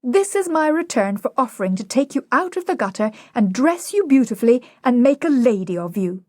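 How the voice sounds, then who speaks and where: A woman's voice: fairly high pitch, very animated, a little fast, a little mellow, no room sound, clean studio sound, voice pushed up. A woman voicing an imperious male professor who blusters and scolds. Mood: blustering. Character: Higgins, domineering male phonetics professor.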